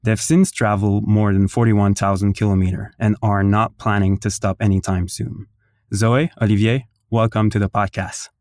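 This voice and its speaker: A man's voice: lowish voice, level tone, normal speed, a little mellow, no echo, studio quality. A man co-hosting a casual podcast and introducing a guest, with lots of fillers and frequent laughs. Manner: laughs often, many fillers. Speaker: podcast co-host and interviewer.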